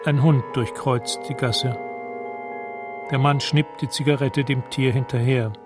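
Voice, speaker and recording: An actor playing a detached narrator in a radio drama, describing scenes in a sober, measured way. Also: male voice, mid-range voice, steady tone, music in the background, close to an everyday voice.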